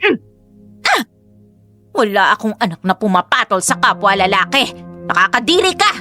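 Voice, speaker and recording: A woman's voice: medium pitch, sing-song, moderate pace, sharp tone. An actress playing a domineering, judgmental middle-aged mother in a Tagalog radio drama, scolding and melodramatic. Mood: furious. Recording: faint background music.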